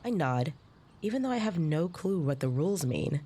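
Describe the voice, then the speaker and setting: An actress playing an earnest young trainee, narrating her story in the first person in a measured, descriptive way. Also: deep voice, sing-song, dark tone, breathy edge, exaggerated voice. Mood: earnest, uneasy.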